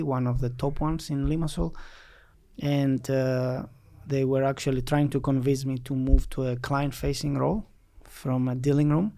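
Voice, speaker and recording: A man from Greece with a Greek accent, answering as a podcast guest in a halting way with frequent fillers. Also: mid-range voice, gravelly, darkish tone. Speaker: podcast guest, finance company director. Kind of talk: interview answers recounting a career.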